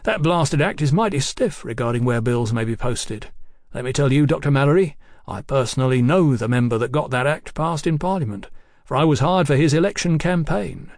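A man's voice: mid-range voice, a little mellow, no room sound, clean studio sound. A man voicing an easy-going, talkative working-class bill-sticking boss in an audiobook, with folksy, colloquial phrasing. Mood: chatty, unruffled. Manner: folksy, unhurried, colloquial, garrulous.

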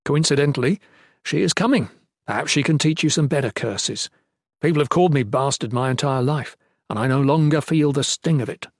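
A man's voice: mid-range voice, brisk, no room sound, lightly acted. A man voicing a defiant, loyal guardsman in a fantasy audiobook, speaking firmly with dry formality and stoic pride. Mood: dry, sardonic.

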